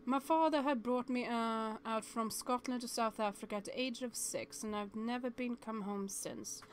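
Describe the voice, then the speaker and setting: A woman's voice: fairly high pitch, fairly even intonation, moderate pace, a little hiss or hum. A woman streamer reading a visual novel aloud, stumbling over words and chatting to viewers between passages.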